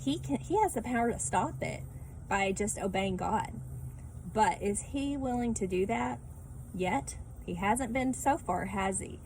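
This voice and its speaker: A woman speaks to children in a casual, conversational teaching style. Her voice sits at a middle pitch, and she often ends thoughts with short questions. Faint ambient noise runs behind her. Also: unhurried, darkish tone, low-quality recording. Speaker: children's Bible class teacher. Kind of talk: casual read-aloud and lesson talk for children.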